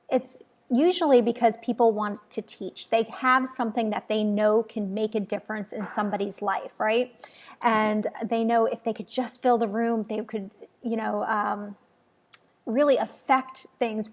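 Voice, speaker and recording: Upbeat lady host interviewing a guest, higher voice. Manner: upbeat, enthusiastic. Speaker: show host, business coach.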